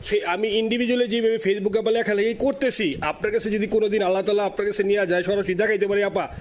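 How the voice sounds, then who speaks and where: A man's voice: high voice, fairly even intonation, fast talker, a little hiss or hum. A man from Bangladesh on a phone call, an eager, deferential party supporter calling from abroad. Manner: deferential, eager, keeps saying yes sister. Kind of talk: phone conversation.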